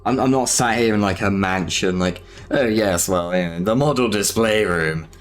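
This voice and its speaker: A man's voice: fairly low pitch, moderate pace, soft background music. A man with a Southern English accent chatting casually as a streamer while he paints, rambling and self-deprecating with lots of fillers. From Southern England.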